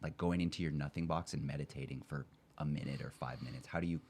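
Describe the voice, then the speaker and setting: A man's voice: extremely low pitch, fairly even intonation, fast, darkish tone, slight background noise. A man co-hosting a podcast, talking casually and conversationally. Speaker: podcast co-host, military veteran. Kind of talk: casual chat.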